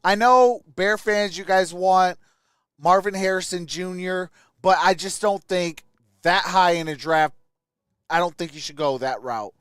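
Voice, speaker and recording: A man with a smooth, clear voice hosts a casual sports livestream. He talks at a slow pace in a conversational way, responding to viewer comments. The sound is close and dry. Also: sits high, a bit bright, no room sound. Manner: greets viewers by name, conversational.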